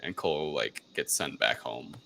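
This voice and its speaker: A man casually recapping a film as a podcast co-host, rambling and halting, with frequent swearing. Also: very deep voice, animated, creaky, sharp tone, slight background noise.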